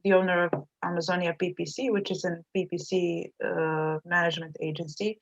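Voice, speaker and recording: A woman's voice: lowish voice, level tone, fairly quick, highs cut off. A woman from Serbia, a native Serbian speaker, talking casually as an interview guest, hesitant with many fillers. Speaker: agency owner, guest.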